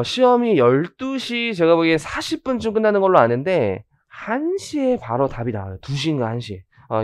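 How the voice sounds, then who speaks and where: A hoarse man in his thirties giving a chatty orientation lecture on tax law, with joking asides and many fillers. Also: on the high side, a little mellow, no echo. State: hoarse from rhinitis.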